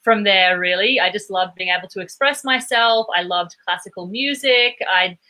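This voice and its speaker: A woman from Australia with an Australian accent, a guest on a video-call interview, speaking in a thoughtful, measured way. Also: mid-range voice, bright, forceful tone. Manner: thoughtful, measured, with small restarts. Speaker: guest, performance psychologist.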